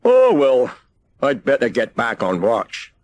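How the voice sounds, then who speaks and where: A man voicing an excitable, giggly, self-important lighthouse keeper in a children's storybook, with flustered, exclamatory delivery. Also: mid-range voice, slow, muffled recording. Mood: bored. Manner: exclamatory, flustered, over-eager. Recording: hissy old cassette transfer.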